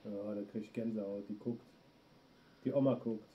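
German man, middle-aged, gaming streamer, lowish voice, muffled audio with clear noise behind. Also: fairly even intonation, normal speed. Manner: talks to himself while playing, reacts to chat. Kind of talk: let's play commentary. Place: small room indoors.

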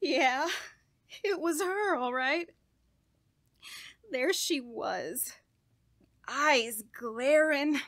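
High-voiced, scheming murderess played by a woman. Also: lively intonation, very slow, long pauses, a bit bright, full character voice. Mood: horrified. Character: scheming, guilt-ridden murderous woman confessing her crime. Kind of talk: radio horror drama narration and dialogue.

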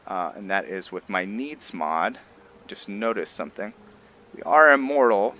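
A man giving casual, halting commentary as he explains his roleplay video game playthrough. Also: on the low side, bright, forceful tone, slight background noise. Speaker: gamer narrating a roleplay playthrough. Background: faint game music.

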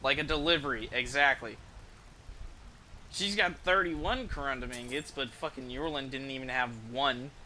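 Bright, forceful young man streaming a game. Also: medium pitch, normal speed, slight background noise, low-quality recording. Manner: profane, informal slangy talk. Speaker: video game livestreamer. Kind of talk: casual gameplay commentary with viewer chat. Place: indoor room, streaming from a desk. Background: video game music.

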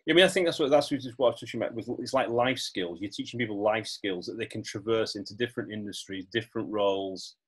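Husky British man hosting a video call. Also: fairly low pitch, quick pace, few pauses, fairly bright tone. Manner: rambling, searches for words, asks for agreement with "yeah?". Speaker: podcast host. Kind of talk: casual interview chat.

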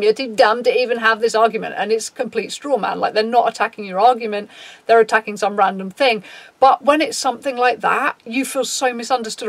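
A woman in her thirties with a low, raspy voice, talking frankly to camera in a casual vlog and swearing freely. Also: no room sound. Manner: frank, swears freely, reads out a list and reacts. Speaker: YouTuber. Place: small dim room, indoors.

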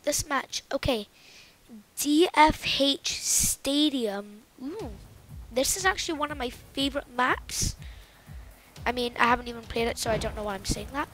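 A woman giving loud, excitable, casual commentary while playing a video game, exclaiming often. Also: high voice, a little slow, rough recording. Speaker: gameplay commentator. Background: game music.

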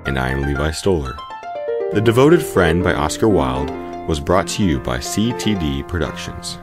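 Male programme announcer, very deep register, animated delivery, prominent music bed. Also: moderate pace. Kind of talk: scripted radio-style credits. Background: loud guitar music.

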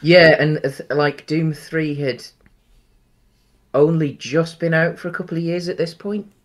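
A man's voice: fairly high pitch, fairly even intonation, a little slow. A man in his thirties, co-hosting a casual film-review chat from home over a video call, easygoing and rambling with many ums. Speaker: podcast co-host. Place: home room.

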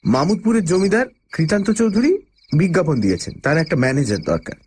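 Male actor as plucky proud traveller, lively pitch swings, dramatic first-person ghost-story narration, faint noise behind. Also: brisk, close to an everyday voice. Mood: questioning, defiant.

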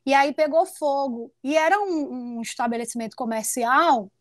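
A woman in her thirties with a northeastern Brazilian accent, a professor giving an informal academic talk in a halting way, rephrasing as she goes, on an earphone mic. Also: sits high, dry recording, clean studio sound. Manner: halting, repeats and rephrases, often seeks agreement. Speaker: professor, guest lecturer. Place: small indoor room with bookshelves. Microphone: wired earphone mic on a video call.

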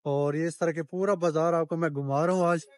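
A man's voice: fairly high pitch, steady tone, brisk, dry recording, studio quality. A man giving a chatty walking-tour vlog of a street market, talking straight to viewers and bargaining with shopkeepers as he films. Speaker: travel vlogger.

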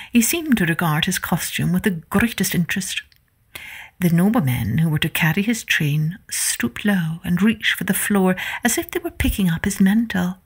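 A woman narrating a children's storybook as a podcast host, in an expressive, deliberate manner. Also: mid-range voice, slightly rough.

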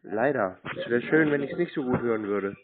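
A man's voice: medium pitch, lively intonation, fairly quick, over a noisy crowd. A man chatting casually on the phone as a prankster, teasing people with feigned earnestness. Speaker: prank caller answering scam calls. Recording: narrow, tinny phone-like sound.

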